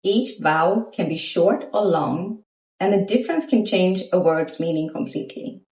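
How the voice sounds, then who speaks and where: A woman's voice: fairly low pitch, moderate pace, a little mellow, husky, recorded from a distance, rough recording. A woman from Sweden, narrating a scripted beginner language lesson in an upbeat, inviting way. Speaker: language teacher.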